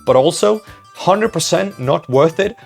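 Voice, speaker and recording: A man's voice: a little high, lively intonation, measured pace, creaky, darkish tone, faint music behind. A young man answering viewer questions in a casual vlog, rambling, self-mocking and swearing offhandedly. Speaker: vlogger.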